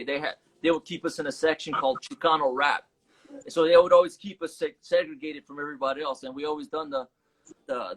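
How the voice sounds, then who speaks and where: A man's voice: on the high side, fairly bright tone, slightly rough, poor audio. Laid-back man from Las Vegas. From Las Vegas, USA. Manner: laid-back street talk, mixes in Spanish words. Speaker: guest rapper. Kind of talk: casual interview chat. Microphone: phone on a live stream.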